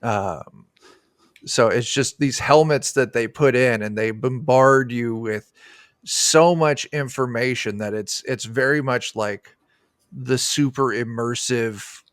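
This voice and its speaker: A man's voice: mid-range voice, slow, a bit bright, no room sound. Man explaining his game, very dry recording. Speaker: tabletop game designer being interviewed. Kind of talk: casual interview chat. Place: indoors, on a video call.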